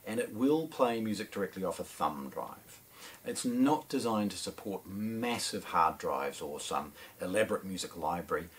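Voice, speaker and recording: A middle-aged man with a New Zealand accent presents a product unboxing. His voice sits somewhat low, and he explains things in a measured way. A faint background noise runs under the recording. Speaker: audio shop presenter. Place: indoor shop demo room with shelves and speakers.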